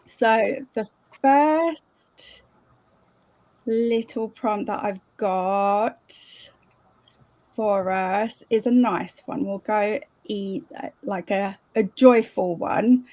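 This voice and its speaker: A woman's voice: mid-range voice, takes it slowly, mellow, dry recording. Chatty woman hosting a livestream. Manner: chatty, rambling with fillers and giggles. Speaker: host. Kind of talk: casual livestream chat.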